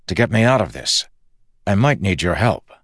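A man voicing a cocky, determined dead crew leader in an audiobook, with a brisk, insistent and wry manner. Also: low-pitched, very animated, dry recording, clean studio sound, pitched above the performer's own voice, exaggerated voice.

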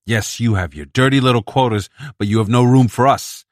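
A man voicing a huge broad-chested male mutant who speaks loudly, harshly and with contempt. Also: mid-range voice, very animated, unhurried, no room sound, studio quality, voice pushed up. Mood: angry.

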